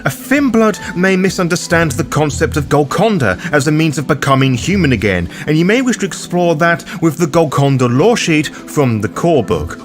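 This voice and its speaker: Lively male explainer with wry asides. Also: medium pitch. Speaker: host of a tabletop roleplaying guide. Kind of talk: scripted narration, explainer.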